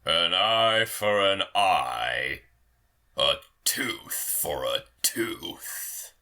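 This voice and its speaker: A man's voice: lowish voice, sing-song, very slow, pushed and bright, exaggerated voice. A man playing a vengeful tyrant lord and former soldier, taunting and mocking in formal, theatrical audio drama speech. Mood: cold, vengeful. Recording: roomy echo, music underneath. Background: music.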